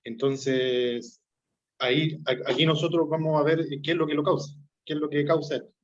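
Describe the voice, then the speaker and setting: A young Chilean man speaking as a guest, explaining things conversationally in a halting, piece-by-piece way. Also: a little high, flat delivery. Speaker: guest speaker on a marriage radio segment. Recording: slightly dull, lo-fi sound.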